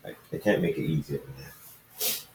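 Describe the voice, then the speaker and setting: A man's voice: rumbling low, darkish tone. A man reading a fan-made story aloud in an amateur way, often stumbling and going back over his words. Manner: halting, stumbles and re-reads lines. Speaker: fan fiction reader. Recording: roomy echo, distant microphone.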